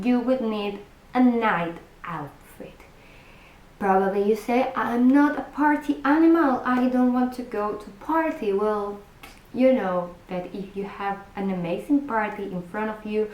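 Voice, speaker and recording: A woman's voice: mid-range voice, echoey room, dull audio. A woman from Buenos Aires with an Argentine Spanish accent, a native Spanish speaker giving cruise packing tips in a chatty vlog. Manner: chatty, restarts and self-corrections, direct address to viewers. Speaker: cruise vlogger.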